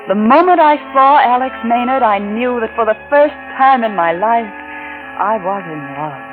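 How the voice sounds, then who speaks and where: An actress playing an unfaithful, romantic wife, narrating in a polished, confiding 1940s radio drama style. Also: female voice, a little high, lively intonation, normal speed, phone-quality sound, natural voice. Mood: confessional, brooding. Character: unfaithful wife confessing a secret love, brooding, romantic. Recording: vintage radio recording with music.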